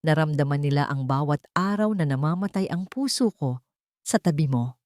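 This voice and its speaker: A woman's voice: low-pitched, animated, normal speed, darkish tone, no room sound, clean studio sound. Sorrowful dying wife, voiced by a woman narrator. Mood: pained, accusing. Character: dying, heartbroken wife and mother writing her diary.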